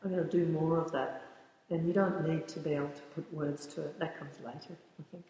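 A woman in her sixties, a yoga teacher, answering interview questions thoughtfully and often pausing to search for words. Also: deep voice, steady tone, mellow. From born in the United States, raised in the Bahamas. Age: 60s. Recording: very echoey room with long reverb.

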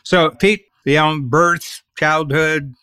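A male interview host who asks friendly, rambling questions in a relaxed, conversational way. His voice is smooth and resonant, and it sounds dry, close and clean. He lets his thoughts wander a little before he gets to the question. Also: a little high, takes it slowly, no room sound.

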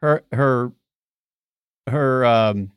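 Male podcast co-host, medium pitch, casual storyteller with conversational asides, dry sound. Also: extremely slow, smooth, no echo. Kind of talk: casual chat, recounting a documentary.

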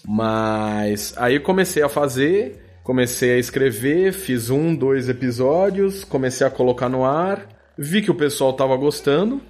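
A man's voice: medium pitch, expressive, unhurried, few pauses, a little mellow. A man with a Brazilian accent talking casually as a podcast guest, informal and slangy, with a joking touch. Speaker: podcast guest, audio drama producer. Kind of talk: casual conversational interview.

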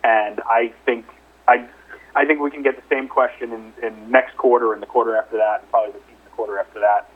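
Male company executive, husky, conversational and hedging, heard through a narrow phone-line recording on an investor call. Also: mid-range voice, steady tone, a little fast. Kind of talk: investor call question-and-answer. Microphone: telephone conference call. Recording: narrow, muffled telephone line.

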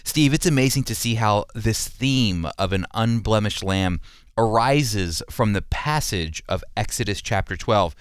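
A man's voice: on the low side, expressive, measured pace, clear, resonant tone, no room sound, clean studio sound. A man hosting a radio program, teaching the Bible and commenting in an earnest, emphatic, explanatory way.

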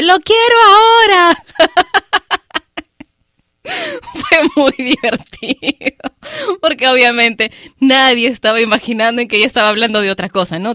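A woman's voice: fairly high pitch, bright, forceful tone, no echo, clean studio sound. A woman hosting a radio show, reading out listener messages in a chatty way and giggling between the stories. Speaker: radio host.

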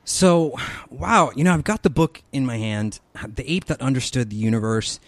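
Upbeat podcast host, dry close sound. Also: male voice, mid-range voice, moderate pace, no room sound. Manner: enthusiastic, rising into excited questions. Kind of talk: podcast interview.

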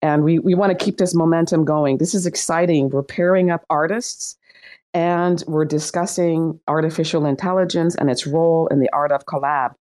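A middle-aged woman hosting a casual online audio chat. She is lively and chatty and laughs often. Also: low voice, moderate pace. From Iran. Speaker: host.

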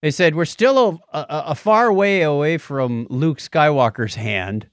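Guy cohosting a geeky science podcast, super expressive up and down voice, jokey, dry studio sound. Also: a little high, measured pace, dry recording. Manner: jokey, animated storyteller. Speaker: co-host of a science and tech show. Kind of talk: casual podcast chat with news summaries. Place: home or podcast studio with acoustic foam.

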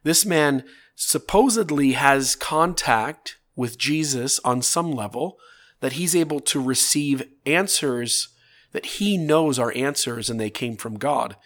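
A man's voice: mid-range voice, takes it slowly, a bit bright. Earnest man with a slight cold. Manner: earnest, building arguments in long lists, frequent rhetorical "right?". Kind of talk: casual talk to camera or podcast.

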